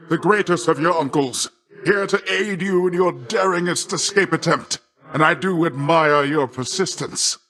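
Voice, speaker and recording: An actor playing a boastful, middle-aged sea god in a video game, a hearty and doting uncle with a grandiose, jovial, theatrical delivery. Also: male voice, fairly high pitch, pushed and bright. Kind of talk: video game character voice lines.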